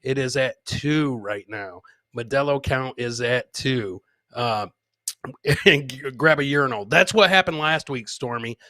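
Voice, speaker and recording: A man's voice: mid-range voice, a little slow, pushed and bright, dry recording. A man hosting a live comedy podcast, chatting informally with viewers and swearing casually. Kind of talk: casual live show chat.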